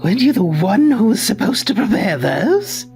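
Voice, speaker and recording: A woman voicing a cocky, careless young boss's son in a manga dub, breezy and excitable. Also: mid-range voice, moderate pace. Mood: dismissive. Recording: music under the voice.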